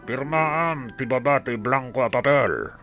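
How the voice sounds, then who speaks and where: A man's voice: mid-range voice, unhurried, a bit bright, faint music behind. A man with an Ilocano accent playing a menacing masked thug in an audio drama. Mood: threatening. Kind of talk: scripted audio drama acting.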